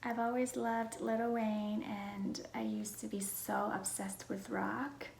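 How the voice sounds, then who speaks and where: A young adult woman from Vietnam with a Vietnamese accent, talking casually and softly with a breathy voice as she answers personal questions for her viewers. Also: mid-range voice, slow pace. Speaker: vlogger. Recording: roomy echo, faint background noise.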